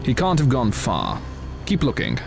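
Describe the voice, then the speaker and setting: An actor in an audio drama playing an edgy, fearful soldier stranded on a mission, terse and anxious, with a gravelly voice. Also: male voice, medium pitch, wildly swooping pitch, moderate pace, over music. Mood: uneasy. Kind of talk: full-cast audio drama acting.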